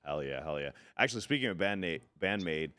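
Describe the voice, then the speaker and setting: A man co-hosting a casual podcast, joking around and counting down a music chart in an animated way. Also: deep voice, brisk. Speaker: podcast co-host.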